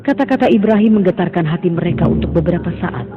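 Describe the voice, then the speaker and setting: Solemn male storybook narrator, fairly high voice, tinny phone-like sound, music under. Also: lively intonation, fast talker.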